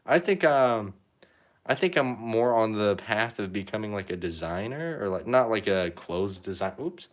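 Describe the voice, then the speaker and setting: A man's voice: expressive, moderate pace. Rambling young man painting on a live stream. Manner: rambling, self-deprecating, reads chat comments aloud; pitch rises at phrase ends, upspeak. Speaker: painting livestreamer. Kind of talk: casual live-stream chat while painting.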